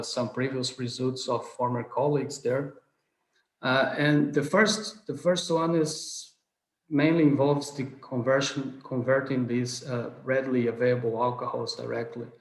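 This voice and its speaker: Man, thirties, from southern Brazil, Portuguese native, hesitant award lecturer, mid voice, roomy webcam sound. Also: mid-range voice. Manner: earnest, many hesitant uhs and restarts. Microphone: video call webcam. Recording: roomy video call audio.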